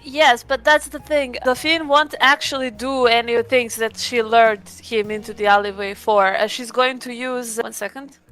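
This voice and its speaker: A woman's voice: fairly high pitch, steady tone, moderate pace, hardly any pauses, fairly bright tone, soft background music. A woman chatting casually as a player at a tabletop roleplaying game, often questioning.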